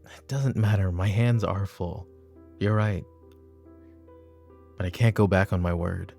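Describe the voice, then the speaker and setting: A man playing a weary, brooding middle-aged mafia boss and father in a roleplay audio drama, speaking in a halting, intimate way. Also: a little mellow, faint music behind. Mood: uneasy, brooding. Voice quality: gravelly, low. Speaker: voice actor.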